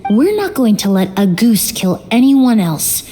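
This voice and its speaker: A woman voicing a calm, analytical young perfumer who reasons aloud in a measured way. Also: on the high side, a little hiss or hum. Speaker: investigator in a game story. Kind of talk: video game voice acting. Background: faint game music.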